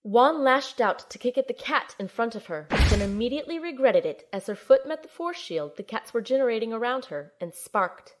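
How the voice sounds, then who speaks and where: A woman playing a determined young heroine who narrates her own adventure in an audio drama, in a measured, earnest way. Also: fairly high pitch, animated, noisy background, natural voice. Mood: tense, urgent. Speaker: narrator and lead character. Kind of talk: scripted first-person audio drama narration.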